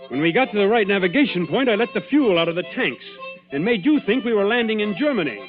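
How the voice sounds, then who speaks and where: An actor playing a cool, sardonic skipper hero in a 1940s radio drama, wry and authoritative. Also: male voice, high-pitched, expressive, fast, soft background music. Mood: sardonic, triumphant. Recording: tinny narrow-band old radio recording.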